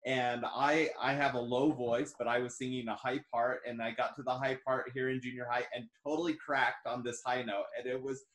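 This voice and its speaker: A man's voice: mid-range voice, level tone, moderate pace, few pauses, a bit bright. A man in his forties hosting an interview over a video call, speaking earnestly and encouragingly. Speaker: host. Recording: echoey room on a video call.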